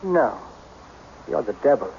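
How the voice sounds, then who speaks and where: An actor playing a frantic, practical businessman in an old-time radio drama, with an agitated, halting, intense delivery. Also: medium pitch, very animated, creaky, exaggerated voice. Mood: confused, agitated. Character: frantic, practical businessman, magically made younger. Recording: dull, noisy old radio recording.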